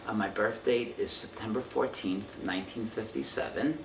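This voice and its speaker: A man in his fifties answering an oral history interview from memory, speaking in a halting, careful, measured way. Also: on the low side, creaky. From Israel. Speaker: interviewee giving family testimony. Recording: roomy, distant mic with faint noise.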